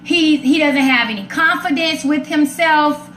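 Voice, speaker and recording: A woman's voice: sits high, measured pace, fairly bright tone. A woman hosting a live talk show, speaking casually and emphatically to her viewers in an outspoken way. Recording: roomy echo, faint background noise.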